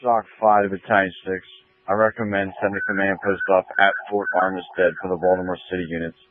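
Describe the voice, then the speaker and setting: A man with a low voice speaks over a narrowband emergency scanner, sounding very even in pitch. He is a fire officer giving procedural, matter-of-fact radio reports. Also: brisk, noisy background. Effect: two-way radio.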